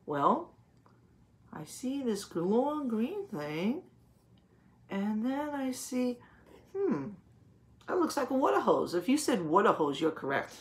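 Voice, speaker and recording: A woman teacher reading a picture book aloud to young children, explaining as she goes and pausing to ask questions and confirm answers. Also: fairly high pitch, very animated.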